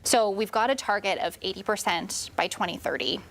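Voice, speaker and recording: Creaky-voiced woman asking interview questions. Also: medium pitch, fairly quick, a bit bright, rough recording. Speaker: news interviewer.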